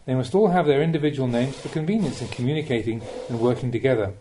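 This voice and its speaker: A man reading spiritual teachings aloud as an audiobook narrator, in a measured, instructive manner with emphatic phrasing. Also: medium pitch, warm tone, a little hiss or hum.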